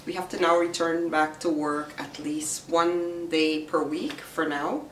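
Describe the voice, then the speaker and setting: A middle-aged woman with a slightly raspy voice from a recent virus, chatting casually as she shows what she carries to work. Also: sits low, steady tone, a bit bright. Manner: chatty, unhurried, explains each item. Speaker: vlogger. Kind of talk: casual show-and-tell vlog. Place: small room indoors. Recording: roomy, distant camera sound with faint hiss.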